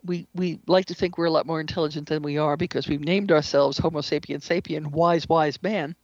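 A woman speaking as an interview guest, explaining spiritual ideas in an earnest, measured way. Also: deep voice, fairly even intonation, a little mellow, no echo, lo-fi sound. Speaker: interview guest, shamanic practitioner and author.

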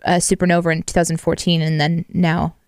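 A woman co-hosting a casual music review chat, thoughtful and soft-spoken, hedging her points. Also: on the low side, little pitch movement, fairly quick, no echo, studio quality. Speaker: podcast co-host. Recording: music playing in background.

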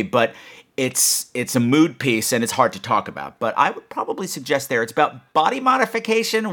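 A man's voice: on the high side, fairly bright tone. A man hosting a podcast, chatting casually in a playful, campy, exclaiming manner as he answers listeners. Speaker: podcast host.

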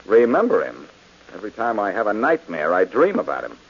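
Wry reformed ex-crook, husky, low, lively delivery, old radio drama sound. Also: male voice, brisk, full character voice. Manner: dry wisecracking. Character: wisecracking reformed ex-crook man, cool. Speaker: lead detective character. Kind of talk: 1940s radio acting. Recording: dull old radio broadcast.

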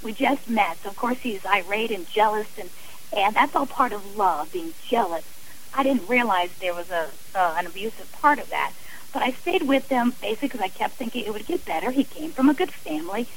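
A woman's voice: mid-range voice, lively intonation, fairly quick, slight background noise, rough recording. A woman speaking as an interview guest on radio, earnest and emphatic, explaining with examples and repeated phrasing. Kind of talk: radio interview, personal and advisory talk.

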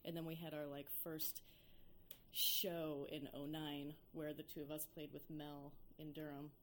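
A young woman with a low speaking voice talks casually about her music, filling her sentences with "like" and "you know". A faint background hiss sits under the voice. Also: little pitch movement, measured pace, fairly bright tone. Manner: chatty, many fillers like "like" and "you know". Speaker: violinist and singer in a duo. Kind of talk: casual interview chat.

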